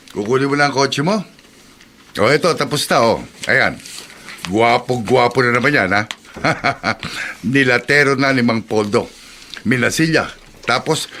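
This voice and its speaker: Slow, forceful, sly mechanic man. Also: mid-range voice, a little hiss or hum. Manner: hearty, sly, drawling. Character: jovial, scheming, lecherous car repair shop man. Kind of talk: Filipino radio drama acting.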